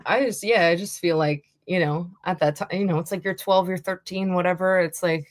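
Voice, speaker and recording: A young woman singer-songwriter with a deep, slightly raspy speaking voice, talking casually in an interview and rambling with many restarts. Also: fairly even intonation, fairly quick, no echo. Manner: rambling, lots of likes and restarts.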